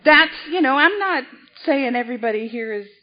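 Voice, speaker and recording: Woman, convention speaker, high voice, restarts often, muffled hall recording. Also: lively intonation, slight background noise. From South Boston, Massachusetts. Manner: self-deprecating, halting, frequent restarts. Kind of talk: personal recovery story at a podium.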